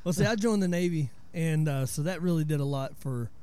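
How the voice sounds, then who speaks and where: A man in his forties with a Southern US accent talks in a relaxed, storytelling way. His voice sits a little high but sounds warm and dark. He explains where local words came from. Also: a little fast, smooth. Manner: laid-back storyteller, explains word origins. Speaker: podcast host. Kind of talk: casual chat.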